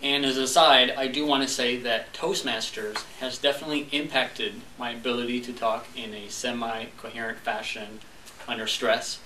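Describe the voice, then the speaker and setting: A young man from Montreal, a French speaker, tells a funny story to a club audience and slips into the voices of the people in it. His tone is bright and forceful. He is recorded from a distance in a roomy space with faint background noise. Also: mid-range voice, steady tone, normal speed. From Montreal, Canada. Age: young adult. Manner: storytelling, acting out dialogue. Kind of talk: humorous speech. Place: club meeting room. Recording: echoey room, distant mic.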